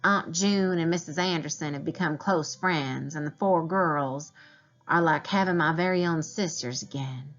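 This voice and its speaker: A woman's voice: deep voice, a little slow, muffled recording. A woman reading a children's diary novel aloud as a teacher would, in storybook narration.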